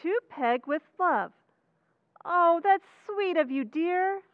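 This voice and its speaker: An actress playing a doting but easily exasperated American housewife and mother in an expressive old-time radio comedy style. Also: extremely high pitch, slow pace, dry recording, narrow, tinny audio, heavily acted. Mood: affectionate.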